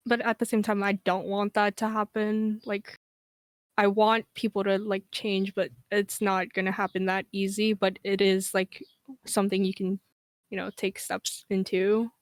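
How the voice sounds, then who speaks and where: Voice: medium pitch, level tone, normal speed, no echo. A young adult with a feminine-sounding voice, talking hesitantly on a casual panel with lots of fillers and restarts.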